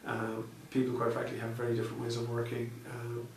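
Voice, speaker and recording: A man's voice: mid-range voice, monotone, brisk. A man answering interview questions in a hesitant, conversational way, with many fillers and restarts. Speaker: interviewee, software researcher. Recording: echoey room, distant mic, faint noise.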